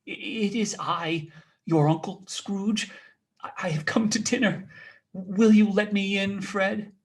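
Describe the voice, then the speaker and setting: A man voicing a kindly reformed old miser, playfully gruff and giddy, in a stammering, halting way. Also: high voice, steady tone, voice pushed up. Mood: shy, hopeful.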